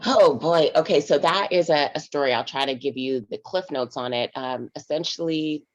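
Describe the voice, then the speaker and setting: A woman in her forties with a raspy morning voice, an investor guest telling her story in a rambling way full of "you know" fillers over a video call. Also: sits low, moderate pace, muffled recording. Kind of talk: casual conversational storytelling.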